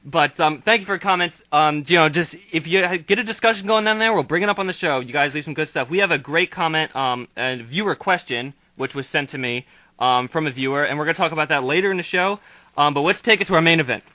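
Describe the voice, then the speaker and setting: A young male host speaks quickly, with a bright, edgy voice that sits a little high. He thanks the viewers and hands the floor to his panel in a loose, casual manner. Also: no room sound. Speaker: show host. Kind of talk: hosting a gaming discussion show.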